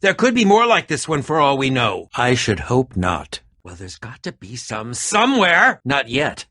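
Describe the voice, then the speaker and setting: A man playing an eccentric, middle-aged adventurer in an animated video game, theatrical and fussy, with a dry, pompous turn of phrase. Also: mid-range voice, normal speed, heavily acted. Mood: dry, musing.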